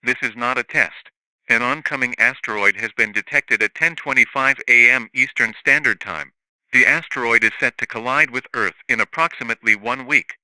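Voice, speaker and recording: A man's voice: mid-range voice, moderate pace, bright, forceful tone, dry recording, poor audio. A man voicing an emergency alert system announcer, formal and impersonal, reading a scripted warning. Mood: grave, official. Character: emergency alert system announcer, flat official adult male.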